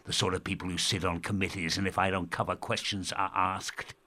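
An actor playing a pompous, sarcastic radio presenter in a darkly comic audio drama, condescending and theatrical. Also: male voice, low-pitched, little pitch movement, brisk, bright, forceful tone. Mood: weary, sardonic.

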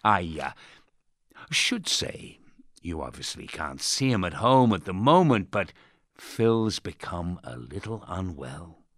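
A man acting a manipulative radio host in a dark audio drama, falsely kind, theatrical and coaxing, with a husky, gravelly voice. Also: low voice, very animated, slow pace, dry recording, studio quality, heavily acted. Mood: showman solemnity. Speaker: radio presenter.

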